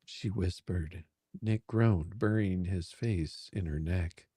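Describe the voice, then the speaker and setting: A man narrating a steamy romance in drawn-out, amused phrases on a close microphone. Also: low-pitched, dark tone, breathy edge, no room sound. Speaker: narrator and reader. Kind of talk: dramatic reading of a steamy romance.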